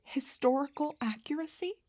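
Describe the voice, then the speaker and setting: A woman voicing an indignant, defensive half-drow bard in a scripted fantasy roleplay audio, with a breathy, theatrical delivery. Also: high voice, lively intonation, normal speed, darkish tone. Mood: incredulous, exasperated. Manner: theatrical, sarcastic, emphatic, stammers when rattled. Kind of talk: scripted roleplay monologue, one side of a conversation.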